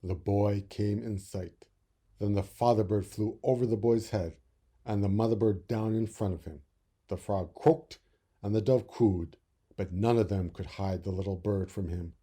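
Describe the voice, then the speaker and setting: A man reading a children's story expressively, with deliberate, dramatic phrasing. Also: lowish voice, fairly even intonation, mellow, breathy edge. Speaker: storybook reader.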